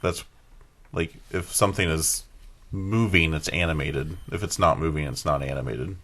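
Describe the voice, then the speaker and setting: A man's voice: a bass voice, moderate pace, a bit bright. A man co-hosting a podcast, talking casually and explaining details to his friends. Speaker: podcast co-host. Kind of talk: casual chat.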